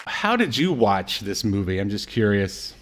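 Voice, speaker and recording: A man around forty co-hosting a casual film discussion, rambling and halting as he thinks aloud. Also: on the low side, sing-song, a loud crowd, very noisy. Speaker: podcast co-host. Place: indoor room on a video call stream.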